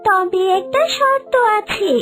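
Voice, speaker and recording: A performer voicing a kind magical talking fish in a children's cartoon, with a gentle, measured delivery. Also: very high voice, soft background music, full character voice. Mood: reassuring, instructive. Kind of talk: children's cartoon story dialogue.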